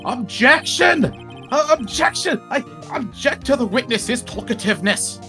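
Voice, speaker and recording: Man playing a flustered, snide prosecutor, very animated. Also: very high-pitched, music in the background, full character voice. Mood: loud protest. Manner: halting, pointed, sarcastic. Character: exasperated, snide, easily flustered male prosecutor. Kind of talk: playful amateur voice-over of a courtroom game.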